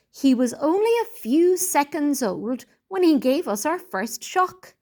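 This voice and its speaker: Slow, animated woman reading a storybook. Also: fairly high pitch. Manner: deliberate, expressive, clearly phrased reading. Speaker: teacher reading aloud. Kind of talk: read-aloud storybook narration for children.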